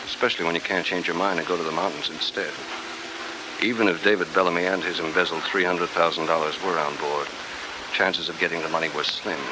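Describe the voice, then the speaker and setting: Man acting a fast-talking, low-voiced hard-boiled adventurer. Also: natural voice. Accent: American. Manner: dry, terse, hard-boiled. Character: tough, wry, unflappable male adventurer hero. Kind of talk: 1940s radio drama acting and first-person narration. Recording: noisy old 1949 radio recording.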